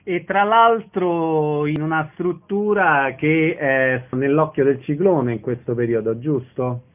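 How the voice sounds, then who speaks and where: A man's voice: fairly high pitch, slow. A man hosting a casual radio interview, putting questions to his guests. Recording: tinny band-limited radio sound.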